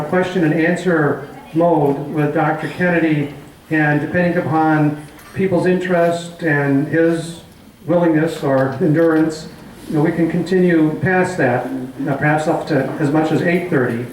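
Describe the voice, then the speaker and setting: Halting organizer in an echoey hall. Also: male voice, fairly high pitch, fairly even intonation, distant mic, slight background noise. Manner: halting, many fillers. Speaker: forum organizer. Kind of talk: announcements at a community forum. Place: community hall meeting room. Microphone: handheld mic, far camera.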